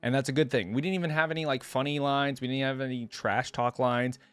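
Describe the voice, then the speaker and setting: A man gives casual reaction commentary in a medium-pitched voice. He muses and explains as he goes, informally. The recording is dry, with very little room sound. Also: fairly even intonation, fast talker, clear, resonant tone, no room sound. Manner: musing, explanatory. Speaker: reaction video host.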